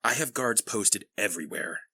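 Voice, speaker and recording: A bright, raspy male voice, a man acting as a protective royal pony dad in a cartoon drama. He sounds earnest and reassuring, close and dry over faint music. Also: lowish voice, animated, moderate pace, no echo, heavily acted.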